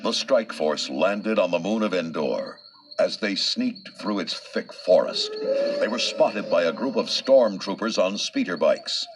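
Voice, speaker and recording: Deep-voiced male storybook narrator. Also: expressive, unhurried, noisy background. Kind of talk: scripted narration of an adventure story. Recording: loud music under the voice.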